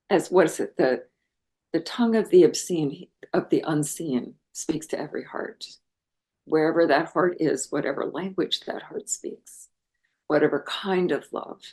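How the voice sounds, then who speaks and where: A middle-aged woman from the United States speaks in a low voice as a guest scholar. She muses earnestly and with open enthusiasm, pausing often to find her words. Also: dark tone. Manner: earnest and enthusiastic, musing with many pauses. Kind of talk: reflective interview talk.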